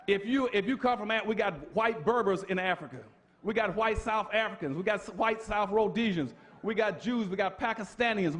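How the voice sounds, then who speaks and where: High-voiced man lecturing with fiery emphasis. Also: fairly bright tone. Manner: emphatic, preacher-like, full of rhetorical questions. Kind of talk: impassioned public lecture.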